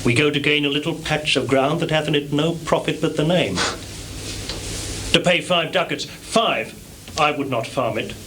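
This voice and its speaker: A man's voice: on the high side, moderate pace, sharp tone. Blunt army officer played by a man, noisy recording. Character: plain-spoken, dismissive Norwegian army officer. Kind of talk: Shakespearean stage acting. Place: small theatre stage in Tokyo. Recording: noisy 1980s live theatre recording.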